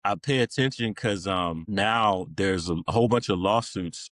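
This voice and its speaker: A man's voice: on the low side, measured pace, fairly bright tone, no echo, studio quality. A man, middle-aged, talking informally as an interview guest, rambling through stories in a casual conversational style. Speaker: podcast guest, Navy veteran.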